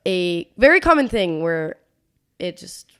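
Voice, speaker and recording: A woman's voice: lowish voice, sing-song, unhurried, clean tone. A young woman in her twenties with a stuffy, congested nose, telling stories casually as a podcast co-host. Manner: chatty storytelling, frequent "like".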